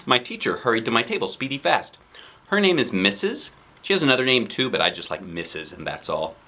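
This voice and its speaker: A man reading a children's book aloud as a dad, with playful, expressive, theatrical delivery. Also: mid-range voice, fairly quick. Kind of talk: animated children's storybook narration.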